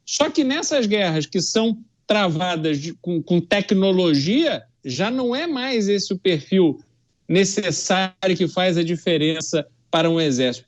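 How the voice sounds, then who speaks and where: A Brazilian man with a notably high voice works as a political commentator. He lays out his opinions in an emphatic, deliberate way, as on a news debate show. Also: lively intonation.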